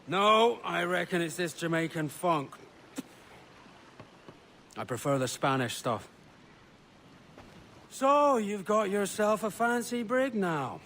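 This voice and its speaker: An actor playing a seasoned pirate captain in a video game, instructive, salty and theatrical with an edge of menace. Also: male voice, high-pitched, very animated, takes it slowly, pauses often, full character voice. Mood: wry, brisk. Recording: loud sea and ship noise behind.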